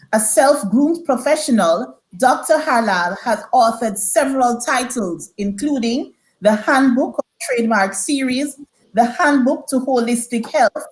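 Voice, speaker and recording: A woman co-host on a video call reading a formal, measured introduction of a guest speaker. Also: on the high side, low-quality recording.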